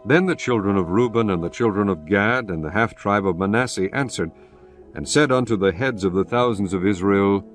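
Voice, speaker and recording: A man narrating scripture in a measured, solemn scripted reading. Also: on the low side. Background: music underneath.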